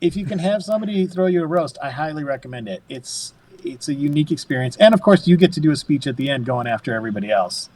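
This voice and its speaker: A man in his fifties, a podcast guest, chatting casually and telling animated stories, laughing often and acting out what others said. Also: on the high side, fast, few pauses, rough recording. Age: 50s. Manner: animated storyteller, laughs often, acts out quotes. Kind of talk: casual chat.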